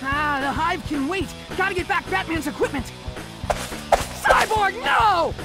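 A man's voice: very high voice, expressive, a little slow, exaggerated voice. A man playing an anxious, boastful teenage boy superhero sidekick in a cartoon, defensive and melodramatic. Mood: fretful. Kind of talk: cartoon voice acting. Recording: music behind the voice.

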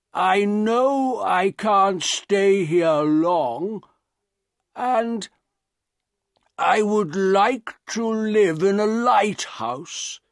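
A man voicing a gentle, sad, humble deformed man in an audiobook, with very slow, halting, laboured speech. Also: high-pitched, no room sound, studio quality, voice pushed up, full character voice. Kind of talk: audiobook character dialogue.

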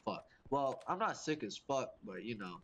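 A man's voice: mid-range voice, big pitch swings, normal speed, fairly bright tone. A young man with a congested, sniffly voice from a cold, giving casual, profane gameplay commentary and ranting about his teammates. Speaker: gaming YouTuber.

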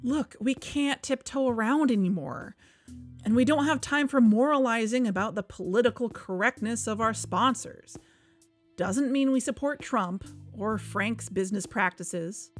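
A woman's voice: fairly high pitch, measured pace, faint music behind, lightly acted. A woman acting as an earnest, insistent podcast host in a conversational audio drama. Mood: defensive, urgent.

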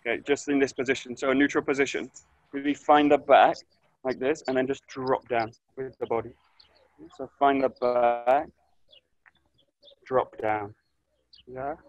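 A man in his thirties teaching an online aikido class, giving calm, short coaching cues outdoors in a grassy orchard, recorded on a camera mic as he moves nearer and farther. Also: medium pitch, measured pace, long pauses, dry recording. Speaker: aikido instructor. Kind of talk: live online class instruction. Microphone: camera mic, distance varies as he moves.

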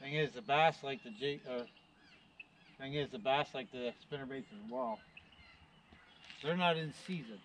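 A man's voice: mid-range voice, a little slow, pauses often, fairly bright tone, a little hiss or hum. A middle-aged Canadian man with a Canadian accent, narrating a casual fishing vlog in a folksy, joking way, from his car and from a creek bank. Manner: folksy, joking, thinks aloud with fillers. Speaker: fishing vlogger. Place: inside a car, then outdoors by a creek. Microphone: camera on dashboard, later far camera on the bank.

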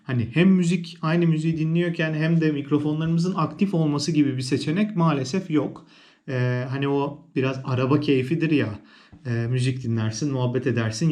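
A man's voice: mid-range voice, a little mellow. A man giving a casual, conversational product review as a vlogger, explaining things as he goes. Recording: roomy indoor echo.

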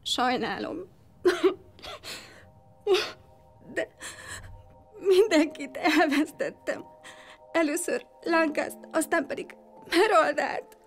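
A bereaved mother and widow in an audio drama. Her high voice is pleading and full of feeling, with faint music underneath. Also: expressive. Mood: grieving, tearful. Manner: soft-spoken, pleading, emotional.

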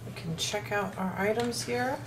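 A woman's voice: mid-range voice, moderate pace, background noise. A woman casually demonstrating a toy playset, explaining each piece as she handles it. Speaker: toy reviewer.

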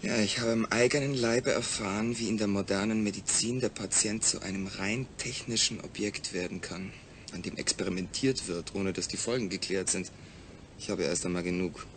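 An actor as a proud, emphatic astronaut patient. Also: male voice, fairly low pitch, normal speed, fairly bright tone, a little hiss or hum, close to an everyday voice. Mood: earnest. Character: male astronaut patient, proud, defiant, distrustful, demanding. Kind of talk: German science-fiction radio drama acting.

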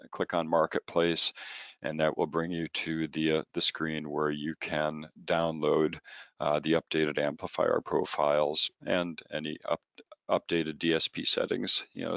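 Deep creaky guy doing a software walkthrough, kinda halting and informal, repeats words, really dry sound. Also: no room sound. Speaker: product trainer. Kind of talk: software training presentation over slides.

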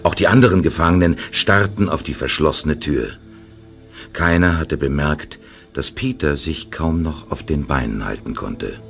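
A man narrating an audio drama in a calm, measured scripted style. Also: rumbling low, vocal fry, soft background music.

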